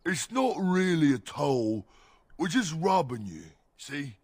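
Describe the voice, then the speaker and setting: A man's voice: a little high, big pitch swings, slow, a little mellow, dry recording, heavily acted. A man playing a dim-witted, blunt bandit henchman in a fantasy game, speaking hesitantly in rustic wording.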